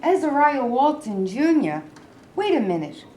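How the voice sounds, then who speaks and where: A woman's voice: high voice, big pitch swings, measured pace, warm tone. A woman reading a pushy male reporter. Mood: probing. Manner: probing, questioning. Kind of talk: staged play reading. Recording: echoey room.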